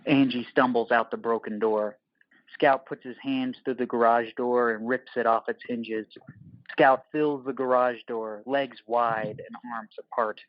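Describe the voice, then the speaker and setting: A man's voice: mid-range voice, steady tone, measured pace, no echo, dull audio. Dry, clipped male narrator. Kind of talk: scripted narration of screenplay directions.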